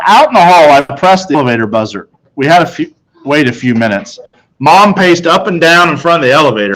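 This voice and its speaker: A man's voice: a little high, a bit bright, low-quality recording. A man narrating a children's novel aloud in the first person, as a storybook narrator.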